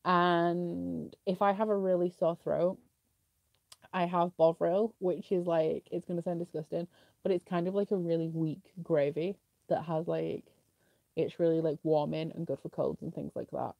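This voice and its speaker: A woman's voice: fairly low pitch, steady tone, normal speed, gravelly, a little mellow, no echo. Chatty young British woman, sniffly. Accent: British English. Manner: chatty, many "like" fillers. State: sniffly with a cough. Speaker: booktuber answering viewer questions. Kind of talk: casual live Q&A chat.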